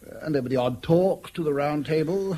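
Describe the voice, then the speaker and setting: An actor playing an elderly, courteous retired army colonel with an upper-class English accent and old-fashioned military phrasing in a radio drama. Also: male voice, fairly high pitch, fairly quick, dark tone, natural voice. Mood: courteous, puzzled. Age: older.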